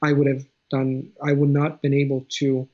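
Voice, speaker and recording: A man's voice: mid-range voice, monotone, normal speed, a little mellow. A man in his thirties from Italy with an Italian accent, talking as an interview guest over a video call at home, thoughtful and often restarting his phrases. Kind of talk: casual conversation. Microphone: video call webcam. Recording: dull lo-fi video call audio.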